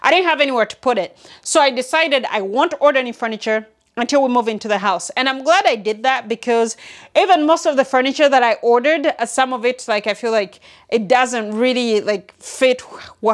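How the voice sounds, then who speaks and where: A woman from Uganda in her thirties sits in her big walk-in closet and talks straight to the camera. Her voice sits a little high, and she gestures a lot as she speaks. She is chatty and loose, full of likes and restarts. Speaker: vlogger. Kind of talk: casual talk to camera. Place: large walk-in closet at home, indoors. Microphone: seated, medium framing to camera.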